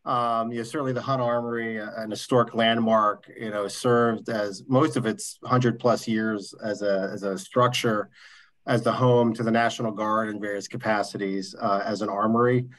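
A man presenting as a development officer at a board meeting over a video call, halting, with many fillers and restarts. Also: lowish voice, fairly even intonation, fairly bright tone.

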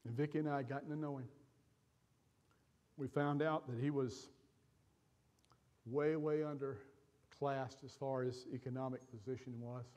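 An older man preaching from a church stage, telling a personal story with pauses and feeling. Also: mid-range voice, steady tone, a little mellow. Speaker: pastor. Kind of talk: sermon storytelling.

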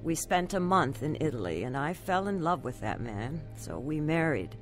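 A troubled young woman in a radio drama, confessional and anxious. Her voice sits fairly low and she speaks with raw earnestness. Faint music runs behind her. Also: sing-song, normal speed. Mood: somber confiding. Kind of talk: radio drama testimony narration and acting.

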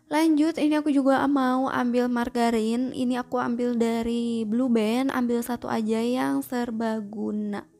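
A woman's voice: a little high, fairly even intonation, unhurried, darkish tone. A young woman vlogger chatting through a grocery shopping trip, often asking viewers to comment below.

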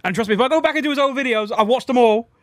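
A man's voice: extremely high pitch, racing, no echo, studio quality. A young man giving casual reaction commentary to a video, repeating himself to insist on his points. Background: faint music from the video being watched.

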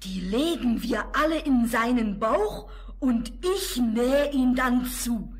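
A woman's voice: on the high side, animated, takes it slowly. A woman playing an anxious, tender mother goat in a children's fairy-tale radio play, emotional and dramatic. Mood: relieved, joyful. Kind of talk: 1960s German children's radio play acting.

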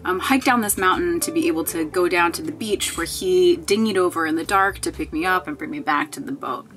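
A woman in her thirties with a slightly low voice chats to a handheld camera inside a small wooden boat cabin. She tells her story in a loose, wry way, shrugging off trouble with a laugh. Soft music plays faintly underneath. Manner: chatty, wry, laughing at mishaps. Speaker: sailing vlogger. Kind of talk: casual vlog talk to camera. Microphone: handheld camera at arm's length.

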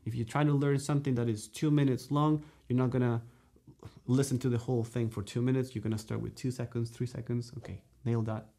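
A man in his thirties teaching saxophone straight to camera in a dark studio, animated and gesturing, checking in with "okay?" and "you know" between his points. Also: mid-range voice, fairly quick. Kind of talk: direct-to-camera music lesson. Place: dark studio room, indoors.

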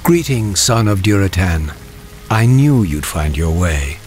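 An actor playing a solemn old prophet in a fantasy game, speaking in a grave, portentous, measured way. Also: male voice, deep voice, very animated, darkish tone, soft background music. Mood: solemn, urgent. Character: mysterious old male prophet, solemn and foreboding. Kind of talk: dramatic fantasy game voice acting.

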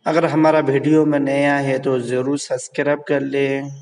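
A man's voice: mid-range voice, flat delivery, darkish tone, in a large hall. A man narrating a home cooking video step by step at the stove, repeating himself and urging viewers to subscribe. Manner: slow, repetitive, keeps urging viewers to subscribe. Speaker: cooking vlogger.

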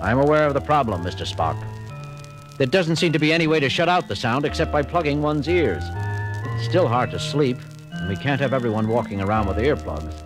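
A man's voice: mid-range voice, fairly quick, over music, natural voice. A man playing a commanding starship captain. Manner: commanding, expressive. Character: decisive male starship captain. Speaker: captain and log narrator. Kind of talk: 1970s audio drama.

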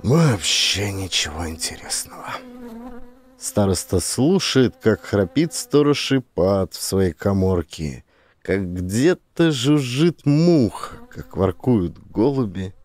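A man's voice: on the low side, very animated, takes it slowly, a little mellow. A man narrating a literary audiobook as an actor reading from a script.

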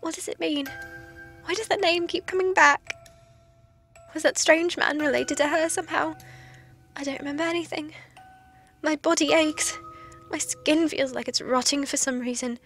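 Woman acting a high-voiced lonely undead woman. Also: fairly even intonation, normal speed, pauses often, fairly bright tone, faint music behind. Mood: confused, fearful. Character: lonely undead woman, lovelorn, forgetful, desperate. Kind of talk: audio drama log monologue.

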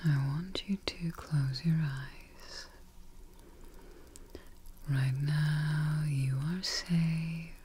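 A woman leading an ASMR guided meditation in a soft, breathy, mostly whispered voice, gentle and soothing, with short phrases and pauses, close to the microphone. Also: deep voice, steady tone, background noise. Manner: soothing, gentle, unhurried, pausing often between short phrases. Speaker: ASMR host guiding meditation.

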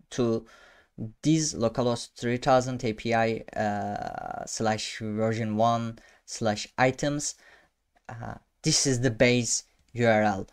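A man's voice: medium pitch, clear, resonant tone. A young man whose first language is Turkish, talking through a coding tutorial at his desk with lots of fillers and restarts. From Turkey. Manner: halting, many fillers and restarts, self-amused. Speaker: programming tutor. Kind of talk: casual screen-share coding tutorial. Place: small room indoors.

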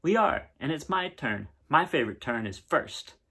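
A man's voice: on the high side, sing-song, normal speed, bright, forceful tone, husky, pitched above the performer's own voice, heavily acted. A man voicing an opinionated, bossy little farm girl from a storybook, emphatic and matter-of-fact. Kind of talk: storybook read-aloud.

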